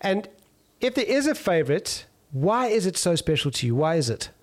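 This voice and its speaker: Middle-aged male interviewer, slightly high voice, rambling and encouraging. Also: big pitch swings, normal speed. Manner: rambling questions with restarts, encouraging. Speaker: interview host. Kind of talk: casual interview.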